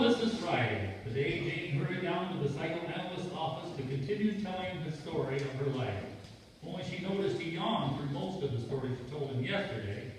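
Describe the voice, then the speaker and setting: A man playing a dry, sardonic radio-comedy husband and narrator with a slightly high voice. His wry lines ring through a very echoey hall from a distance. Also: slight background noise, natural voice. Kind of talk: old-time radio comedy acting and narration.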